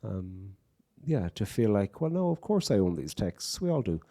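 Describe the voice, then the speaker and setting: Irish man, low warm voice, wry storyteller, reflective, live bookstore stage talk. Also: big pitch swings, moderate pace. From Ireland. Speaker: poet and guest speaker. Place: bookstore event stage before an audience.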